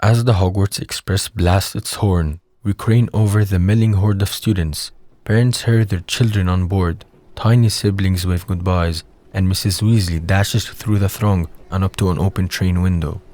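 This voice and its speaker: A man's voice: sits low, fairly even intonation, normal speed, darkish tone. A man reading scripted narration for an audio drama.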